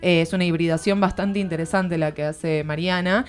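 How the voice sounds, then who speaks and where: Argentine woman doing a radio book column, lowish smooth voice, chatty but earnest, explains stuff as she goes. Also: steady tone, fairly quick. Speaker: literary columnist on a radio show. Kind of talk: conversational book review on radio. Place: radio studio.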